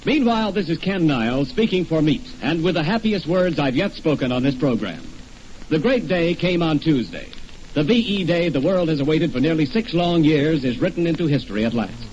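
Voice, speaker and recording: A man's voice: a little high, expressive, a little fast, a little mellow, lightly acted. Man acting a 1940s radio announcer, dull noisy recording. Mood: upbeat. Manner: formal, stirring, patriotic. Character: earnest, upbeat 1940s American radio announcer.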